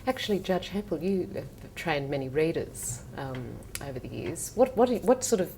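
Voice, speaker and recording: A woman's voice: sits low, sing-song, normal speed, dark tone, a little hiss or hum, rough recording. A woman moderating a panel, asking questions with some hesitation.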